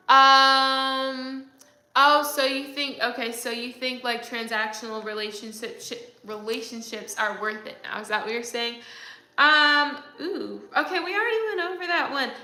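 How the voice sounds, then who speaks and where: A woman's voice: sits high, sharp tone, roomy sound. A young woman hosting a livestream, chatting casually and reading viewer comments aloud, with lots of fillers. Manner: chatty, rambling, many fillers. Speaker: livestream host.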